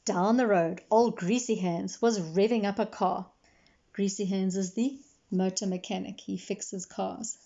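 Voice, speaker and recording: A woman reads a children's storybook aloud with animated expression. Her pitch sits in a middle range for a woman. The recording is somewhat dull and lo-fi. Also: unhurried, vocal fry. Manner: animated, acts out dialogue. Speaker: storybook reader, librarian. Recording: dull, lo-fi sound.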